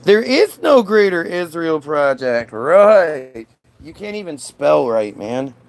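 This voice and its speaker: A man's voice: a little high, very animated, slow pace, gravelly. A man in a casual, combative political rant, dismissive and sweary as he answers chat comments. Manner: frequent swearing.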